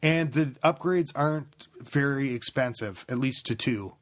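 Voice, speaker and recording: A man's voice: medium pitch, measured pace. A man co-hosting a casual gaming podcast, rambling and restarting his sentences as he chats. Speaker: podcast co-host. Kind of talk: casual chat.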